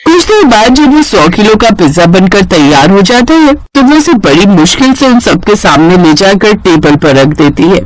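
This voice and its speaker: A woman's voice: medium pitch, expressive, normal speed, few pauses, no room sound, rough recording. A woman reading scripted narration for a cartoon moral story.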